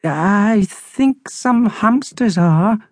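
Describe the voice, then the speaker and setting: Nervous little hamster sidekick, male, done by a storybook narrator. High voice, fretful, drawn-out delivery. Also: expressive, no room sound, clean studio sound. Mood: timid, hesitant. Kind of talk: storybook character reading.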